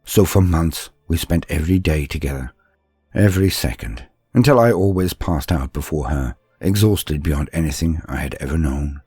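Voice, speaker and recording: A man portrays a scarred, earnest psychic apprentice recounting his life in an audio story. The voice is low and husky, dramatic and measured, with soft music behind it. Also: dry recording. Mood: reflective. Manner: dramatic, measured first-person recollection. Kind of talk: scripted audio story narration.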